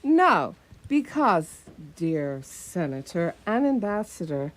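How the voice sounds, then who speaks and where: Brief: woman playing detective's female companion, radio theatre; unhurried, arch, poised, formally flirtatious. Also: lowish voice, big pitch swings. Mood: playful, flirtatious. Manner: arch, formal, playful phrasing. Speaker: actor in a community radio play.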